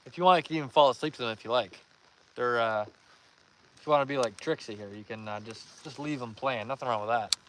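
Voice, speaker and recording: A young man hosting a live fishing stream outdoors, reading viewer comments aloud and giving upbeat shout-outs in a relaxed voice. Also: mid-range voice, fast talker, slight background noise, rough recording. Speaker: fishing livestream host.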